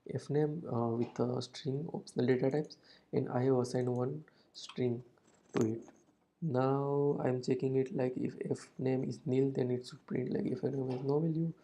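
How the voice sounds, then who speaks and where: A man's voice: mid-range voice, vocal fry, warm tone, rough recording. A man whose first language is Hindi, explaining code step by step in a screen-recorded programming tutorial, with frequent "uh" fillers and non-native phrasing.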